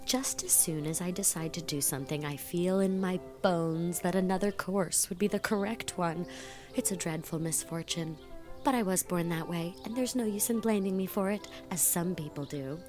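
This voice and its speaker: Gushing vain young college girl, acted by a woman in an audio drama, chatty and indecisive, a slightly lower voice than most women, soft music faintly underneath. She runs on in eager, emphatic bursts. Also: moderate pace, natural voice. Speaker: voice actor.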